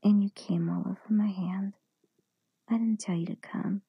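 Adult woman for intimate audio roleplay: very husky, breathy whisper with fry, commanding and teasing delivery. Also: mid-range voice, fairly even intonation, moderate pace, dry recording, muffled recording. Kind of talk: whispered erotic audio roleplay, addressing the listener.

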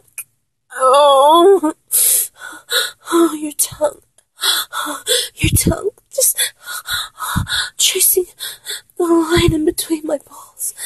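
A high, breathy voice, close and dry, for a needy and teasing male lover played by a woman in intimate audio roleplay. The delivery is slow and halting, sliding between pleading and bossy, with sighs and whispers. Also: steady tone, no echo. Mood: overwhelmed, aroused. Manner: halting, sighing, slipping into whispers.

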